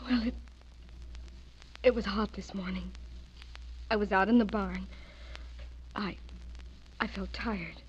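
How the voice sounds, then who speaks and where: An actress playing a frightened, fragile young woman in a radio horror drama, with a husky, hesitant and pleading delivery. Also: medium pitch, fairly even intonation, slow, pauses often, slight background noise, close to an everyday voice. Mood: dazed, evasive. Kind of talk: melodramatic radio horror acting. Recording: muffled radio drama audio.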